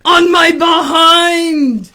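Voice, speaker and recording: A woman's voice: high voice, expressive, unhurried. A woman reading a storybook as a frantic man pleading for help. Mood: distressed.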